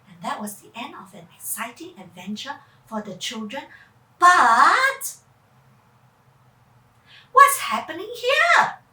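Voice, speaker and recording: High, very animated woman storyteller in echoey room. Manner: theatrical, puts questions to child listeners, vocal sound effects. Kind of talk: dramatic children's story reading. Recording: echoey room, far from mic.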